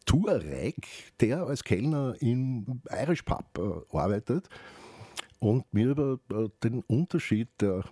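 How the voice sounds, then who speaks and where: Guy hosting radio, kinda husky, slow and halting, thinking out loud, really dry sound. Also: on the low side, dry recording. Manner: halting, reflective, explanatory asides with rhetorical questions. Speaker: radio show host. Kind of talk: unscripted spoken radio commentary with explanations.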